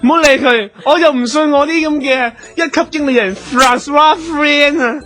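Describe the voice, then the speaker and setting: A man's voice: very high-pitched, moderate pace, pushed and bright. A man playing a fussy, boastful talent manager in a radio comedy drama, nagging and cajoling in Hong Kong Cantonese. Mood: agitated. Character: fussy, boastful male showbiz manager, easily flustered. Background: music.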